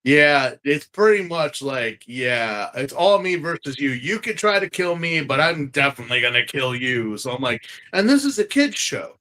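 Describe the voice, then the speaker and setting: A man hosting a casual podcast review, animated and slangy, repeating phrases for emphasis. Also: mid-range voice, moderate pace, few pauses. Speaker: podcast host.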